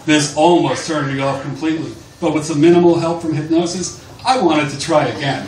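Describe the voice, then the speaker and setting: A man's voice: a little high, a little fast. A man reading aloud from a book at a live literary event in a bar. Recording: distant, echoey, noisy live bar recording.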